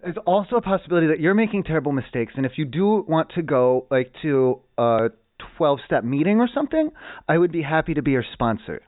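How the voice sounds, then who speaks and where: A man playing an evasive, absurdly earnest customer service agent in a prank call, halting, deadpan and pedantic. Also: a little high. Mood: earnest, bumbling. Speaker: prank caller posing as a complaints phone agent. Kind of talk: improvised prank phone call. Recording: tinny, band-limited phone-show audio.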